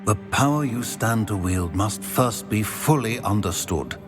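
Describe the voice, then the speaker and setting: Old male wizard professor, deep, theatrically varied, grave, imperious, formal, video game acting. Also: expressive, unhurried, over music. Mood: stern, grave.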